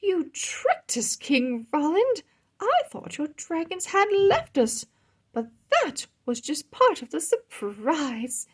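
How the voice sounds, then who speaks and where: A woman's voice: high-pitched, big pitch swings, takes it slowly, pitched above the performer's own voice, heavily acted. A woman voicing a delighted, gracious queen in a children's storybook, exclaiming and gushing. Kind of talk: children's storybook read-aloud.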